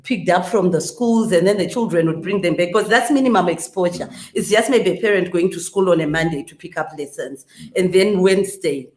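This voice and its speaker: A woman's voice: on the low side, fairly quick, a little mellow. Woman, panel guest, earnest with many restarts; echoey room. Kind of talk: discussion panel.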